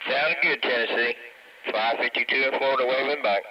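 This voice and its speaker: A man's voice: mid-range voice, steady tone, brisk. A man chatting casually as a CB radio operator, heard through a muffled, noisy radio transmission. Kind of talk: casual two-way radio chat.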